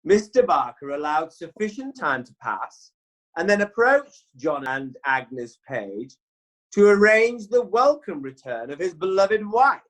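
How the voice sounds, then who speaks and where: A man playing a genteel medieval lawyer who pleads a case in a measured, deliberate way. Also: high voice, very animated, a bit bright, heavily acted, low-quality recording. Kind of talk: amateur radio drama.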